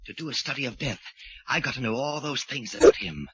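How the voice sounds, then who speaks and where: A man acting an intense, unhinged sculptor obsessed with death, in halting, theatrical 1940s radio style. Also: mid-range voice, breathy edge, dry recording. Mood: fervent, obsessed. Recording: muffled, hissy 1940s radio recording.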